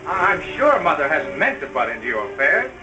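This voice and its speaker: Young husband: soothing peacemaker, expressive pitch, vintage drama, distant over music. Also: male voice, fairly quick. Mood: placating. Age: young adult. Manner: soothing, conciliatory. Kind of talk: 1930s-style radio drama acting.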